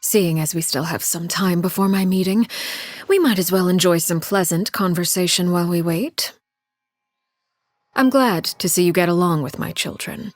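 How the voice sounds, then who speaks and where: A woman voicing a cold, commanding matriarch who rules an orphan household, in video game dialogue. She speaks in a calm, measured and quietly menacing way. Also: lowish voice, no echo, natural voice. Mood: cool menace.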